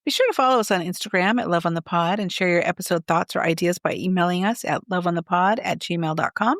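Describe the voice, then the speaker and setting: A woman in her sixties co-hosting a podcast, chatting casually and bluntly with quick asides. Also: lowish voice, no echo, studio quality. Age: 60s. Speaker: podcast co-host. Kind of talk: casual chat.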